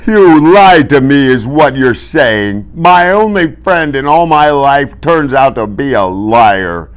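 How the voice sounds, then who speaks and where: A man voicing a huge, childlike giant of a man who is loud, stubborn and quick to indignation in a storybook reading. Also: very animated. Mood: indignant. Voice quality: deep, resonant.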